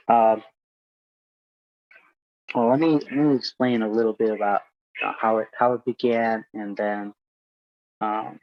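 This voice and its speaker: A man's voice: fairly low pitch, lo-fi sound. A man from Hungary, guest on an interview, talking reflectively with many fillers and repeated words. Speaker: guest speaker. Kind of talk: casual interview talk.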